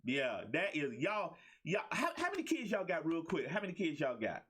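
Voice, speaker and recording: A man's voice: on the high side, very animated, normal speed, a bit bright, breathy edge. A man hosting a talk show, teasing his guests playfully and laughing often. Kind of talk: casual interview chat.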